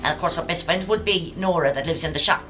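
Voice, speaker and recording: A woman's voice: low-pitched, fast talker. A woman with an Irish accent chatting casually in an interview, emphatic and often repeating her phrases. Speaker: amateur actress being interviewed. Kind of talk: casual chat. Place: launch event at an arts centre. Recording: music playing in the background.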